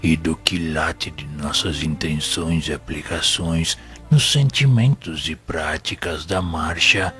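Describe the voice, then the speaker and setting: Very deep, solemn spiritual instructor. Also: male voice, slow pace, a bit bright, soft background music, heavily acted. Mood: solemn, paternal. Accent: Brazilian. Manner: grave, didactic, formal oratory. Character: wise, paternal, solemn male spiritual instructor. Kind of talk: audio drama sermon-like monologue.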